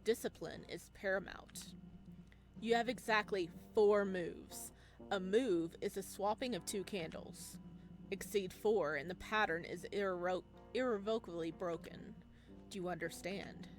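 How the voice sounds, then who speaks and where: Girl reading as creepy controlling therapist guy from a visual novel, flat n clipped, music playing behind. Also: mid-range voice, unhurried, close to an everyday voice. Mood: flat, stern. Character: cold, controlling male therapist running eerie ritual sessions.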